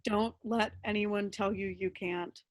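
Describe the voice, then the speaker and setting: A woman's voice: medium pitch, steady tone, a little slow. A young woman playwright talking casually and candidly about her writing. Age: young adult. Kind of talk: casual, candid feedback talk.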